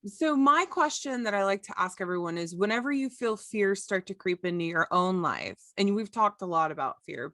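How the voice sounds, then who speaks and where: A woman's voice: medium pitch, a bit bright, no echo, clean studio sound. A woman hosting a casual podcast interview, putting questions to guests. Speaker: podcast host.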